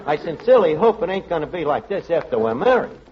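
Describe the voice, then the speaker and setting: Brash New York tavern manager, animated. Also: male voice, on the high side, fast. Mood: jealous, exasperated. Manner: slangy, mangles words. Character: brash, lovestruck, word-mangling male tavern manager. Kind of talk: 1940s radio comedy acting. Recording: muffled noisy vintage radio, loud studio audience.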